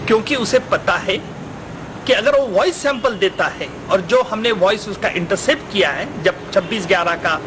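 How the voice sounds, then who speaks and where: A man's voice: high voice, racing. A man with an Indian accent giving emphatic, argumentative legal commentary for the news. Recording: noisy, with traffic-like background.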